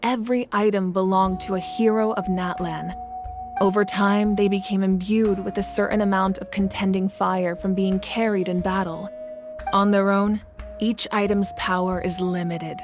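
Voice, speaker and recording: A woman playing a calm, wise leader of warriors in a video game, speaking in a measured, formal and reassuring way. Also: mid-range voice, lightly acted. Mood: composed, serious. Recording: music behind the voice.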